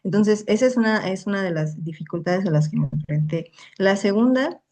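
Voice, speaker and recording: A woman's voice: medium pitch, a little fast, a little mellow. A young woman, answering questions about her research in an online talk. She speaks carefully, restarting phrases and tagging statements with a questioning "no". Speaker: invited lecturer. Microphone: online video call.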